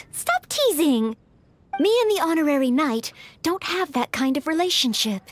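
An actress voicing a shy, earnest, dutiful teenage maid knight in an anime-style game, polite and hesitant. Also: female voice, high-pitched, big pitch swings, normal speed.

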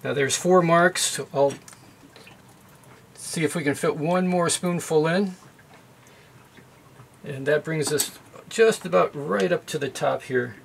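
A man's voice: fairly high pitch, slow, creaky, long pauses, a little hiss or hum, rough recording. Methodical middle-aged man demonstrating. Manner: plain, methodical explaining with occasional fillers. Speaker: sailing how-to video host. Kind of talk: hands-on demonstration. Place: boat galley at a sink.

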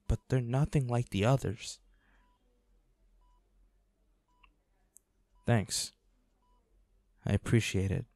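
A man's voice: mid-range voice, warm tone, no room sound, lightly acted. A man acting a brooding, protective monster hunter, close and dry. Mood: worried, tired. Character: devoted boyfriend, weary reluctant monster hunter, protective and menacing. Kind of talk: dramatic audio roleplay.

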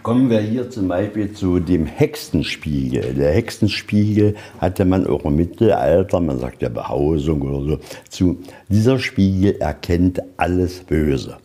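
Man, deep voice, soft dark tone, folksy tour-guide storytelling, rhetorical questions. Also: animated, unhurried, hardly any pauses. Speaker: museum guide. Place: inside a museum.

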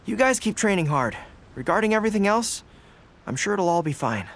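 A man playing a caring, steadfast young dog-eared general in a video game, sincere and gently reassuring. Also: high voice, big pitch swings, brisk, a little hiss or hum, full character voice. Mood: resolute, reassuring. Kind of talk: scripted video game voice acting.

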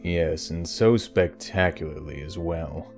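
A man plays an arrogant fae villain in an audio drama. His voice is deep, with a scornful, condescending delivery, and music sits faintly behind it. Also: slow, a little mellow. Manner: condescending, sardonic. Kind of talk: audio roleplay drama acting.

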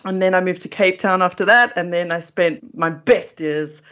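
A woman's voice: on the low side, moderate pace, fairly bright tone. A middle-aged South African woman speaks as a guest on a remote video call. Her manner is chatty and self-deprecating, in casual conversational storytelling. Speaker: interview guest, food coach.

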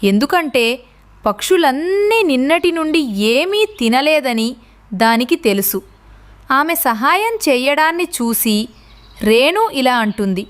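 Very high, lively woman storyteller. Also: a little slow. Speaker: storyteller narrator. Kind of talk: scripted children's story narration.

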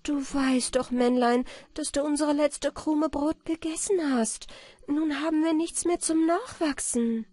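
Woman acting a high-voiced, emotional storybook heroine. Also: measured pace, warm tone, no echo. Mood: protesting. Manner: heartfelt, exclaiming. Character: warm-hearted, emotional young storybook heroine.

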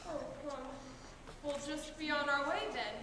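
A woman's voice: sits high, measured pace, pushed and bright. A woman playing a tactlessly concerned townsperson in a stage comedy. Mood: puzzled. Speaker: stage actor. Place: theatre stage. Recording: very echoey hall, faint noise.

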